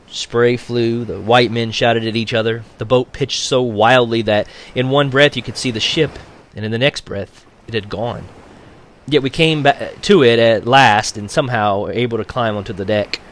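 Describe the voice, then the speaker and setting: A man reading a novel aloud as a teacher would for his class, in an expressive audiobook style. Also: medium pitch.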